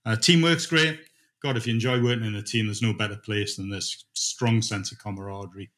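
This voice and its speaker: A man's voice: lowish voice, fairly even intonation, fairly quick, a bit bright. A middle-aged man speaking as a manager in an informal interview, thinking aloud and repeating words as he goes. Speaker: dispatch manager being interviewed.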